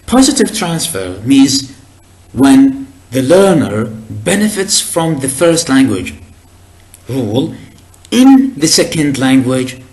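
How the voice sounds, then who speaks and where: A man whose first language is Arabic, giving a recorded lecture in a deliberate, explanatory way with frequent hesitations and restarts. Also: mid-range voice, very animated, a little mellow, low-quality recording.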